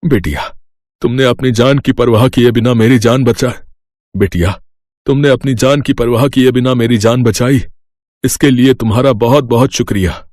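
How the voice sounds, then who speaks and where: A man's voice: mid-range voice, steady tone, normal speed, a little mellow, no room sound, studio quality, lightly acted. A man playing a stern, middle-aged senior railway official in a narrated story, speaking with authority. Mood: grateful. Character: stern older senior railway official, grateful then scolding. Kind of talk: acted dialogue in a narrated story.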